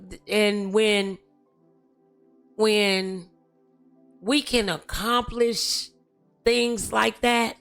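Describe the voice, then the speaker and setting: A woman hosting a talk show, speaking in a halting, emphatic and encouraging motivational way. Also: medium pitch, creaky.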